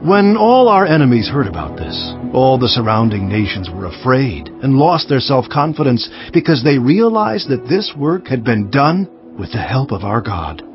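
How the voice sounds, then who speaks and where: A man playing an earnest, resolute ancient governor recounting his memoir in a measured, emphatic dramatized scripture narration. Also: medium pitch, very animated, lightly acted. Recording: dull sound with faint music underneath.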